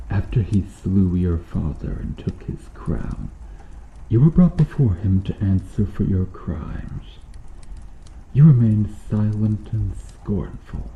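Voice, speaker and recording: A man voicing a cruel, bitter medieval knight in a slow-burn ASMR roleplay, speaking with brooding, deliberate menace. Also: deep voice, vocal fry, reverberant, phone-quality sound, a little hiss or hum, exaggerated voice. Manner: brooding, menacing, deliberate delivery with dropping hushed phrases.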